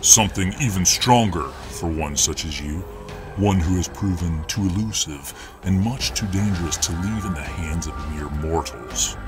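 A man voicing a smug, pompous, cold superhero in a dramatic audio roleplay, speaking formally and deliberately. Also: sits low, over music, lightly acted. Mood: triumphant, stern.

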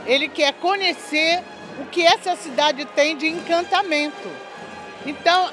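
An older woman interviewed at an indoor craft fair, speaking in an earnest, reflective way into a handheld mic. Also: high voice. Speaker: craft program coordinator.

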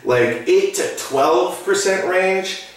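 A man's voice: high voice, slow. An enthusiastic man in his thirties hosts a casual beer tasting and chats eagerly about what's in the glass. He sits well back from the mic in a small room with a strong echo. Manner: enthusiastic, talkative. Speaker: beer review host. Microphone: far camera. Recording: echoey room, distant mic.

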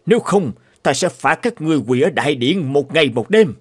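A man's voice: on the high side, animated, brisk, husky, no room sound, studio quality. An actor playing an imperious, bullying cartoon king who scolds and threatens haughtily. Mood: threatening. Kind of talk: dubbed cartoon fairy tale acting. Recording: music behind the voice.

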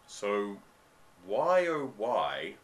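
A man's voice: lowish voice, big pitch swings. An Australian man in his thirties, getting over a cold, giving an emphatic ranting commentary to a webcam in a dim room at home. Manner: ranting, emphatic, drawn-out stresses. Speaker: vlogger. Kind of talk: commentary monologue.

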